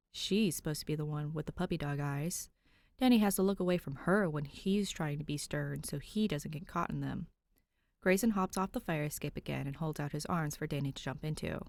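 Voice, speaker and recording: A woman's voice: deep voice, expressive, brisk, darkish tone, no echo. A woman narrating fan fiction expressively from a script. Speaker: podfic narrator.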